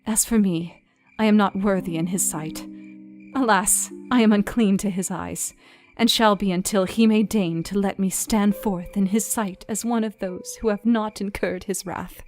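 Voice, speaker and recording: A woman's voice: medium pitch, fairly even intonation, moderate pace, darkish tone, soft background music, close to an everyday voice. An actress playing a devout, troubled young Victorian wife, reading her journal in an earnest, reflective, formal way for a radio play. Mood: anguished, prayerful. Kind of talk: dramatic audio journal reading.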